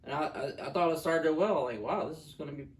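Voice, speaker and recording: A man's voice: on the high side, quick pace. A man chatting casually as co-host of a film review, grumbling in an exasperated way. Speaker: co-host of a movie review show. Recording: echoey room, distant from the mic.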